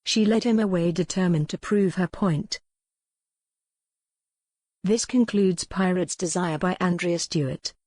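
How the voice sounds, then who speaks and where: A woman's voice: fairly low pitch, measured pace, long pauses, a little mellow, dry recording. Woman narrating a romance audiobook. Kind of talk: scripted romance fiction narration.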